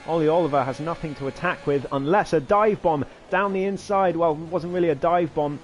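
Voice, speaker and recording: A hoarse man giving live commentary on an online racing league, his voice climbing excitedly through each overtake. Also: a little high, brisk, a little hiss or hum. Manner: rising excitedly during overtakes, chatty asides. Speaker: race commentator.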